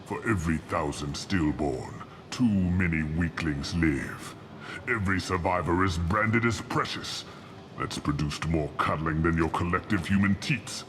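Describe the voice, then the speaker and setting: A man voicing an arrogant, ruthless old alien warlord scientist in a video game, speaking with grandiose, deliberate contempt. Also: low-pitched, fairly bright tone, a little hiss or hum. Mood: grand contempt. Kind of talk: video game dramatic acting.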